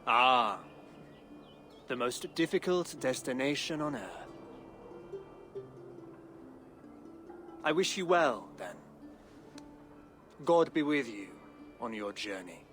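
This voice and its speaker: A man playing a thoughtful, pious English king in a video game, with a formal, regal and deliberate manner. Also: medium pitch, animated, a bit bright. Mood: resigned, gracious. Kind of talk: dramatic video game acting. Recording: music under the voice.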